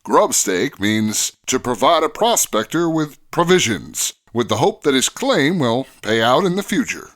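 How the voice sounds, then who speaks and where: A man's voice: medium pitch, very animated, unhurried, hardly any pauses, a bit bright. A man playing an upstanding, genial frontier police sergeant, formal and earnest, in old-time radio drama style.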